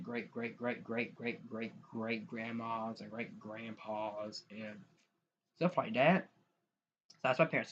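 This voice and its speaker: A young man from the United States chatting casually to viewers while sharing his screen, rambling and restarting often. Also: mid-range voice. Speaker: YouTuber. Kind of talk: casual screen-share vlog talk. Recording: dull lo-fi mic with faint noise.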